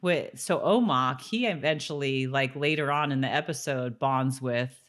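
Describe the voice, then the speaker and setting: A woman's voice: rumbling low, normal speed, a bit bright. A woman co-hosting a podcast, recapping a TV show in a casual, agreeable, conversational way. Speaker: podcast co-host. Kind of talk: casual TV-recap chat with some read-out episode summary.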